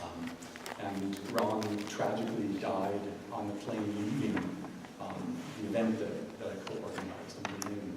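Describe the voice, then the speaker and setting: An American man, a scholar and curator, giving an unscripted speech at an event, halting with many ums and restarts. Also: fairly low pitch, steady tone, creaky. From United States. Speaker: guest speaker, scholar and curator. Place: large event room. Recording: echoey room, mic far off, audible noise.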